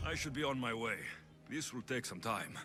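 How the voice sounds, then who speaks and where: Game lead: dry, wry mercenary, bright forceful tone, highly varied pitch. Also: male voice, expressive, normal speed, faint music behind. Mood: resolute. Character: gruff, wry male mercenary hero of ancient Greece. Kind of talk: video game cutscene acting.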